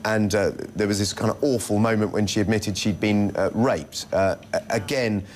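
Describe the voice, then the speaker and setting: A man with a low voice gives live news analysis as a correspondent. He explains in a halting, hesitant manner, with some noise behind him. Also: fairly even intonation, a little mellow. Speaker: senior international news correspondent. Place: television news studio.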